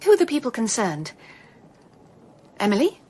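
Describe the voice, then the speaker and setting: A young gentlewoman of the Victorian age in a radio play. Her polite, composed voice sits fairly high and swings widely in pitch. It has a slightly husky edge, and she can turn emphatic and indignant. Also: sing-song, moderate pace. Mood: kindly, curious. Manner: well-spoken, polite, emphatic. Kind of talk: radio drama acting.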